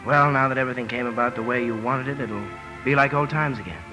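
Actor as an eager young gentleman, medium pitch. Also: fast, lightly acted, poor audio. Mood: tender. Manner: earnest, polite. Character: affectionate, eager young newly married gentleman. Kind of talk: 1950s radio drama acting. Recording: old radio broadcast with music.

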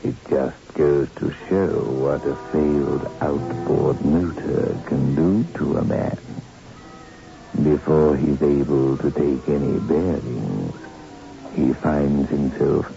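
An actor playing a sardonic, macabre horror host in 1960s radio style, drawling with mock menace. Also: male voice, a bass voice, steady tone, gravelly, exaggerated voice. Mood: wry, mocking. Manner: slow, drawling, mock-sinister. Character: sardonic, macabre male horror host savouring grim puns. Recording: muffled old radio recording, loud music.

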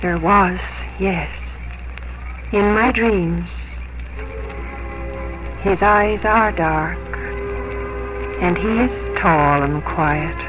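An actress playing a gentle, dignified young schoolteacher in a 1950s American radio drama, speaking earnestly and formally. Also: female voice, lowish voice, music in the background. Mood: tender, earnest. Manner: earnest, measured, formal phrasing. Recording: muffled, noisy old radio recording.

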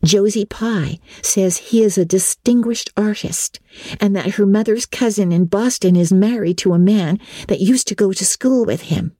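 A woman's voice: medium pitch, normal speed, dark tone, dry recording, studio quality, pitched above the performer's own voice, natural voice. A woman voicing a chatty, romantic teenage girl who gushes eagerly, in a storybook reading. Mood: eager, admiring.

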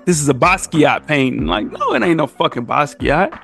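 A man's voice: fairly high pitch, sing-song, moderate pace, gravelly, a bit bright, faint music behind. A man chatting casually on a podcast, opinionated and emphatic, using slang and repeating his points for stress. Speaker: podcast guest.

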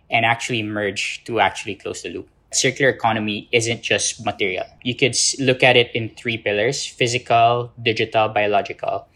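A man's voice: fairly low pitch, bright, forceful tone. A man from the Philippines giving explanatory answers as an interview guest. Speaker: interview guest, social entrepreneur.

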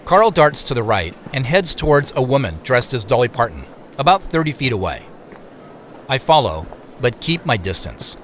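An actor playing a reserved, observant man who narrates his own story in measured, descriptive audio drama style. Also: medium pitch, sing-song, a bit bright, slight background noise. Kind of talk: scripted first-person audio drama narration. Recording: music behind narration.

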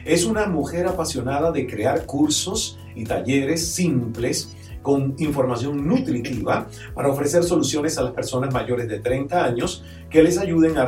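A man hosting a talk show, chatting playfully and laughing often, his voice a little rough today. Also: fairly high pitch, a little mellow, reverberant, recorded from a distance. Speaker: host. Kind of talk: casual chat.

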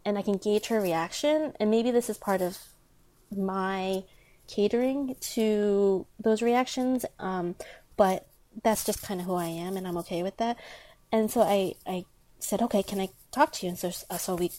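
A woman's voice: mid-range voice, normal speed, dark tone. A young woman hosting a podcast, talking through her thoughts in a solo monologue, earnest and full of fillers and restarts. Her first language was Thai Chinese. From United States. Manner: earnest, thinking aloud with many fillers and restarts. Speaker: podcast host.

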